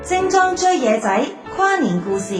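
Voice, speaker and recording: A woman announcing programme titles in a drawn-out, theatrical way. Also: sits high, big pitch swings. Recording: echoey, loud music behind.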